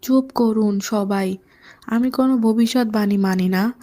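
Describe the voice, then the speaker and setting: A woman playing a firm, protective royal parent in an animated fairy tale. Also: mid-range voice, measured pace, close to an everyday voice. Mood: firm, defiant.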